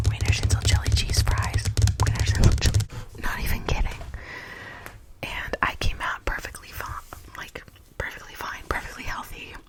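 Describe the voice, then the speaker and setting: A young woman whispering a chatty, rambling makeup tutorial into a close mic, drifting into tangents and softly repeating words. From United States. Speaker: ASMR makeup vlogger.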